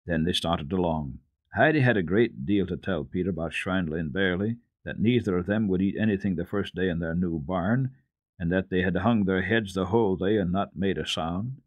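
A man narrating a children's book as a radio storyteller, in a dramatic, expressive and deliberate style. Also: deep voice, dry recording, narrow, tinny audio.

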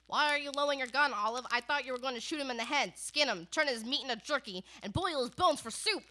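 A high, sharp-voiced, bloodthirsty onlooker played by a woman in a comic radio melodrama. Her lines come out exclamatory and indignant. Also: fast. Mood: alarmed, indignant. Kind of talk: student radio comedy melodrama.

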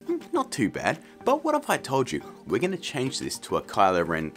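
A man in his thirties energetically hosting a software tutorial, chatty and jokey, from a home studio. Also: medium pitch, huge pitch swings, moderate pace, faint music behind. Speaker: video tutorial host.

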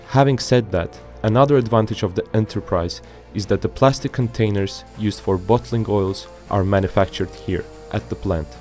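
Man, low voice, scripted documentary narration, measured and formal, light music behind. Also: a little mellow. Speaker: narrator of an industrial report. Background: music bed.

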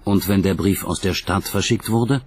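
A man's voice: low voice, normal speed, crowd noise. A man narrating a documentary from a script in a dramatic storytelling style.

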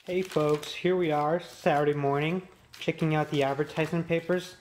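A man's voice: fairly high pitch, fairly even intonation, moderate pace, loud music behind the voice. A man, chatting upbeat as a vlogger, talking on a hike. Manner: upbeat, chatty, tour-guide tone. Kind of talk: casual vlog narration. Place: outdoors on a hiking trail. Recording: noisy outdoor recording.

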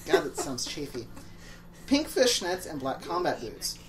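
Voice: mid-range voice, wildly swooping pitch, a little slow, distant mic, rough recording. A let's play co-host reading fan fiction aloud in a mock-dramatic, sarcastic way, with joking asides. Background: game music.

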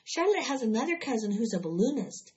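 A woman voicing an eager high little farm girl. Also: sing-song, fairly quick, a little mellow. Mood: insistent. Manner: earnest, insistent, breathless storytelling. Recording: dull lo-fi recording.

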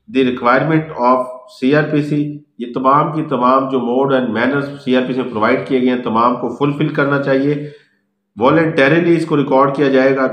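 A middle-aged man with a Pakistani accent giving a law lecture from notes, measured and deliberate, switching between Urdu and English. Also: mid-range voice. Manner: measured and deliberate, reads phrases then explains them. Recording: echoey, roomy sound.

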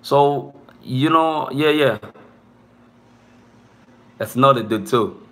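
A man's voice: medium pitch, slow pace, fairly bright tone. A young man whose first language is not English, casually chatting with viewers in a live stream from a small room, rambling and saying "bro" a lot. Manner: loose, rambling, peppered with "bro" and "you know what I'm saying".

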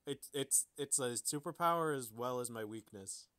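Male podcast co-host, mid-pitched voice, casual and self-deprecating, jokey. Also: moderate pace, sharp tone. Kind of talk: casual banter.

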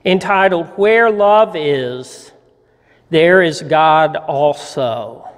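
A man in his sixties, a pastor, giving a dramatic storytelling reading from a pulpit with measured, deliberate phrasing. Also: sits high. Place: church sanctuary, at a lectern. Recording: reverberant hall, long echo.

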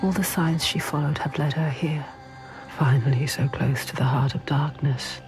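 Game narrator, woman as brooding storyteller. Deep, hushed, measured poetic delivery over loud music. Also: fairly even intonation, close to an everyday voice. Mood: solemn, foreboding. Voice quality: breathy, hushed. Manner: measured, ominous, poetic cadence. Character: solemn female storyteller spirit narrating a dark saga. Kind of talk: scripted dramatic game narration.

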